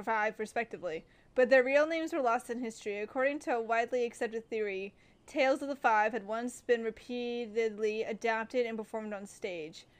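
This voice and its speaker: A young woman streaming a game, chatting casually and reading the game's text aloud. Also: a little high. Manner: chatty, giggly, gushing.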